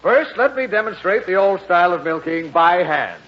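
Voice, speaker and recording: A man's voice: high voice, lively intonation, normal speed. A man acting as a salesmanlike farm machinery demonstrator, announcing in an old-time radio comedy. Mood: showmanlike. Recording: dull, faintly noisy vintage radio recording.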